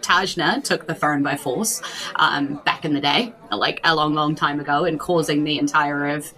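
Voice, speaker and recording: A woman's voice: low-pitched, normal speed, pushed and bright, poor audio. A woman with a New Zealand accent narrating a tabletop roleplay game as game master in a chatty, informal way.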